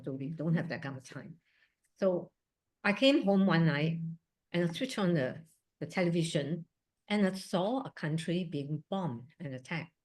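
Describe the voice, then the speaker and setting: Woman, 60s, from Singapore, low voice, earnest talk, chatty asides. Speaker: guest speaker, surgeon. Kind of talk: personal talk at a museum event. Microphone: video call.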